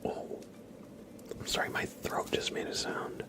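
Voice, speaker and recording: A man whispering softly and breathily as an ASMR livestream host, chatting informally and directly to his viewers. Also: measured pace. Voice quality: soft, breathy whisper with some creak.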